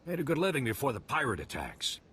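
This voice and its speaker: An actor playing an earnest, worried desert moisture farmer in a video game. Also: male voice, mid-range voice, huge pitch swings, fast, sharp tone, slight background noise. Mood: earnest pleading. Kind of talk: video game dialogue acting.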